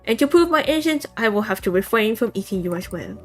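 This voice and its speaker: Woman doing a proud formal cat, slightly lower voice, measured and haughty, soft music behind. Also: expressive, natural voice.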